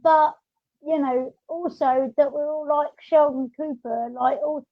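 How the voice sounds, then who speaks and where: Girl hosting a podcast, really high voice, chatty, bit muffled recording. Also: steady tone, unhurried. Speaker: podcast host. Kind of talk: casual podcast chat. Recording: dull lo-fi audio.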